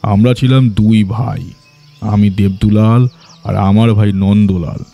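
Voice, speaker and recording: A remorseful landlord and elder brother in an audiobook drama. His voice is low and mellow, and he confides slowly, in a measured, sorrowful way, with music underneath. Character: troubled zamindar, elder brother, recounting remorsefully.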